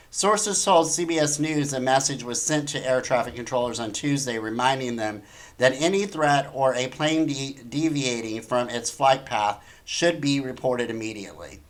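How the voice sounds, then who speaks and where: Male talk host, mid-pitched, emphatic and incredulous, riffing on news with pointed rhetorical questions. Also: fairly bright tone. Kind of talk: opinionated news commentary, reading articles aloud.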